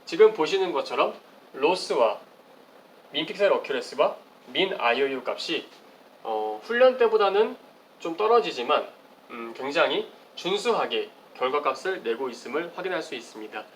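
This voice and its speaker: A man's voice: on the high side, expressive, moderate pace, rough recording. A man giving a formal, explanatory research presentation with slides, as at an academic conference. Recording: clearly audible background noise.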